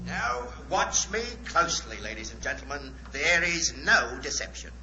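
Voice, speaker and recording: A man's voice: fairly high pitch, sing-song, moderate pace, over loud music. A man playing a showy stage magician in 1940s radio drama, speaking in a grandiose, announcing manner. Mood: grand, showy. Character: showy male stage magician, theatrical showman. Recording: muffled, very noisy 1940s radio recording.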